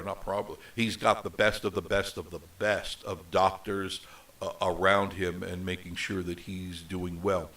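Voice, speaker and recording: A man's voice: on the low side, a bit bright, far from the mic. A middle-aged man with a nasal voice hosting a live show, chatting off the cuff with many ums and restarts. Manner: rambling, with many fillers and restarts. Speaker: host of a live travel show. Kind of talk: unscripted casual live chat. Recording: voice set back from the mic.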